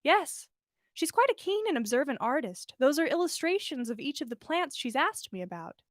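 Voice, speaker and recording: A man's voice: very high-pitched, big pitch swings, moderate pace, a bit bright, dry recording, studio quality, pitched above the performer's own voice, full character voice. A woman voicing an earnest, absent-minded male plant expert in a story, with formal, wordy, polite speech. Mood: sheepish, keen.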